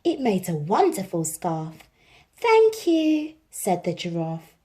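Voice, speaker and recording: A woman reading a children's picture book aloud as an expressive storyteller. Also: on the low side, a little slow.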